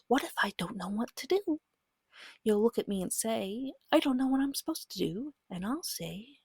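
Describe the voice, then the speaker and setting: Breathy, soft-spoken woman in close-up ASMR. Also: a little high, dry recording. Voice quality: breathy, often slipping into whisper. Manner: gentle, patient, reassuring, slow and deliberate. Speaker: game master and ASMR host. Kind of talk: soft-spoken ASMR guide to a tabletop game. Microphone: close microphone.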